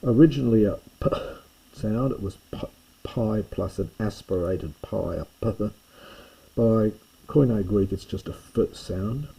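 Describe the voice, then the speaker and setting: A man's voice: fairly low pitch, unhurried, gravelly, dark tone, breathy edge, low-quality recording. A man teaching a lesson, plainly explaining with fillers and stressing each new letter name. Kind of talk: classroom lesson on a whiteboard.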